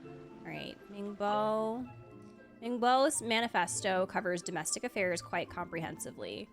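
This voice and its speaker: A woman's voice: mid-range voice, unhurried. A woman streaming a video game with casual, playful, wry commentary. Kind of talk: casual livestream gameplay commentary. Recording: faint game music behind the voice.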